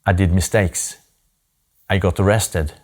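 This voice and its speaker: A man in his forties from Norway with a Norwegian accent, giving an earnest, deliberate personal story talk with pauses for emphasis. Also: deep voice, steady tone.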